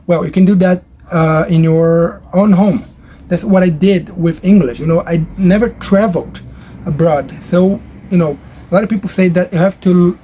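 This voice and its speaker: A man whose first language is Portuguese, talking casually to camera from his bedroom in a rambling way with many fillers and restarts. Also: mellow. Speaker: language-learning YouTuber. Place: his bedroom at home, near a highway. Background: traffic noise from a nearby highway.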